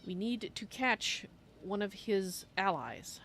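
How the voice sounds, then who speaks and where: A woman's voice: mid-range voice, lively intonation, slow pace, fairly bright tone. A woman roleplaying a courteous female cat-folk archmage in a fantasy game, speaking of herself in the third person. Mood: earnest. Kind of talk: in-character game roleplay on a livestream. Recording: faint noise and game ambience.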